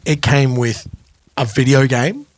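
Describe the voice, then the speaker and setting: A man's voice: medium pitch, unhurried, dry recording. A man co-hosting a podcast, telling anecdotes in a casual, informal way. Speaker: podcast co-host. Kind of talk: casual music podcast chat.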